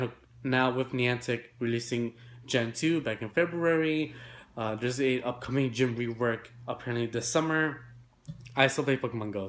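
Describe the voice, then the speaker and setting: A man with a blocked, congested nose reads through technology news stories. He pushes to get through it fast but stays chatty, dropping in fillers and asides as he goes. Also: mid-range voice, fairly bright tone. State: congested, nasal. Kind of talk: remote tech news segment.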